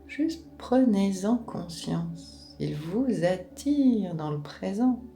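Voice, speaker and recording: A woman leading a guided relaxation meditation in a calm, gentle, unhurried manner, with pauses between short phrases. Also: medium pitch, soft background music.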